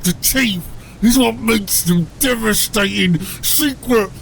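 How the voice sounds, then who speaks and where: Gravelly, bossy ork captain. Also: male voice, sits high, takes it slowly, background noise, pitched above the performer's own voice, exaggerated voice. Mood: furious. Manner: coarse ungrammatical speech, barking orders. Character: gruff, bossy male ork submarine captain, short-tempered. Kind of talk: fantasy audiobook character acting.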